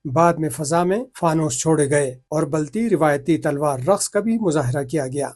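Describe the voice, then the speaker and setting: Higher-pitched man reporting news. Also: a little mellow. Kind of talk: scripted news report narration with on-location sign-off.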